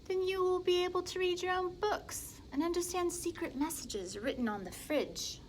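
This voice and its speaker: A woman reading aloud and speaking the lines of a patient, coaxing big brother in a storybook, in an upbeat and gently persuasive way. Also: very high voice, normal speed, a little hiss or hum, pitched above the performer's own voice.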